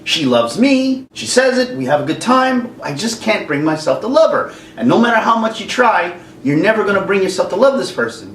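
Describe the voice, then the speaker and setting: A man hosting a casual rant to camera, jokey and animated, now and then slipping into silly singing. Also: sits high. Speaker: YouTube host. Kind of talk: ranting commentary to camera. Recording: echoey room, mic at a distance, faint background noise.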